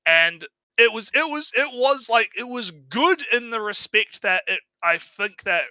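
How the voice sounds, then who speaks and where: Bright, higher-voiced man giving sweary commentary. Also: expressive, a little slow, dry recording. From outside the United States. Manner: sweary, rambling, often tags sentences with "right?". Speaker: stream host giving commentary. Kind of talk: casual reaction commentary, unscripted.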